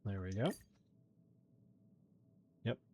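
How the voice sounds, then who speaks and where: A man's voice: lowish voice, expressive, extremely slow, dark tone, slight background noise. A man giving casual, explanatory commentary as he hosts a video game playthrough. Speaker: gaming video host.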